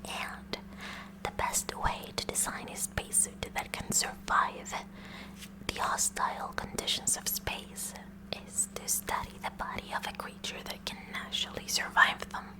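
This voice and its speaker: A woman voicing a kooky, eager high school science club president in a whispered ASMR roleplay, rambling and halting in a nerdy way as she addresses the listener. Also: natural voice, poor audio. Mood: curious, intent.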